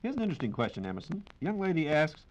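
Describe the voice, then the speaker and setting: A man's voice: on the high side, sing-song, very fast, rough recording. Polished American 1940s radio announcer, male. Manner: formal, polished, courteous.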